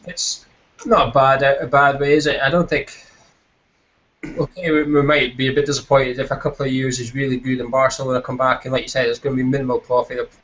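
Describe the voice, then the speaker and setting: A man's voice: medium pitch, level tone, fairly quick, fairly bright tone. A Scottish man casually hosting a football fan chat show in a self-deprecating, jokey way. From Scotland. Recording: dull lo-fi voice chat.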